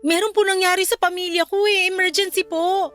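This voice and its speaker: A woman's voice: very high-pitched, fairly even intonation, a little fast, a bit bright, soft background music. A woman acting a humble, worried young employee in a Filipino radio drama, speaking politely and hesitantly with a Filipino accent. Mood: anxious, polite.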